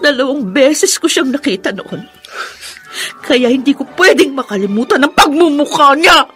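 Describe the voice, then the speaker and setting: An actress playing a bitter, accusing young wife in a melodramatic radio drama, tearful and full of anger. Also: female voice, sits high, animated, normal speed, a bit bright, faint music behind. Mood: angry. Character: bitter young wife raging at her father-in-law.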